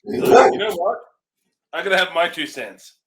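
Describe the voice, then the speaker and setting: A man's voice: high-pitched, animated, measured pace. A man co-hosting a movie review show in casual, conversational style.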